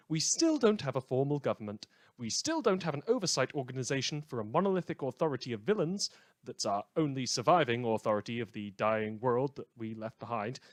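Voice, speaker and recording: A man's voice: mid-range voice, dry recording, studio quality, lightly acted. A man playing a wry, opinionated community radio host in an audio drama, reading scripted news with a theatrical, dryly ironic delivery. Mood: wry, grave.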